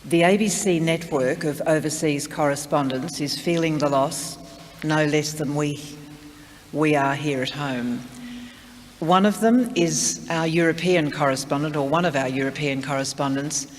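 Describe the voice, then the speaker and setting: A man's voice: on the high side, a little slow. A man making a formal introduction as master of ceremonies at a memorial. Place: large town hall. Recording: reverberant hall, background noise.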